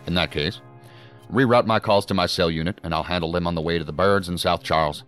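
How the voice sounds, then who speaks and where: An actor playing a measured senior federal agency director in a sci-fi audio drama, formal and deliberate in his speech. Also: low voice, fairly bright tone, faint music behind. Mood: commanding, businesslike.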